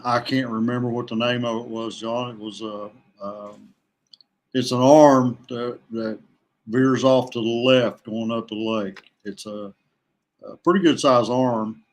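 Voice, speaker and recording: A man from West Virginia chatting casually as a podcast guest, plain-spoken and halting, in no hurry. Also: medium pitch, fairly even intonation. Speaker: podcast guest, veteran kayak angler. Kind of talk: casual chat.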